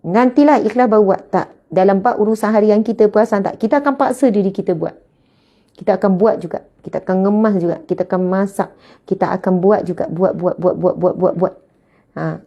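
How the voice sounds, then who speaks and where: A woman's voice: medium pitch, mellow, muffled recording. A woman in her late thirties with a Malaysian Malay accent, giving a chatty religious talk as a teacher, often adding pious exclamations. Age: 30s.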